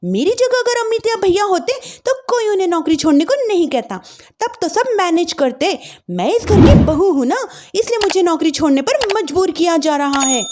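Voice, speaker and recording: A woman's voice: extremely high pitch, lively intonation, normal speed, darkish tone, a little hiss or hum, voice pushed up. A woman voicing a young working daughter-in-law who is indignant and defiant, arguing in a plaintive way. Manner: plaintive, argumentative.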